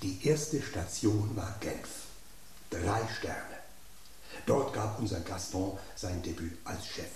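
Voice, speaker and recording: A man's voice: deep voice, slow, a little mellow. An actor playing a gossipy male table spoon in a radio drama, teasing and theatrical as he spins rumors. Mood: dramatic. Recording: roomy studio echo, faint background.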